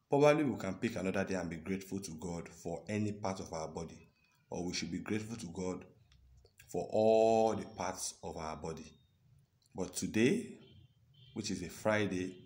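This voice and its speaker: Deep-voiced Nigerian man vlogging. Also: darkish tone. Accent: Nigerian (Yoruba). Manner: chatty, earnest, drawn-out words and frequent "okay?". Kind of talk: casual selfie vlog talk. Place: indoors, small room with curtains. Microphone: phone at arm's length.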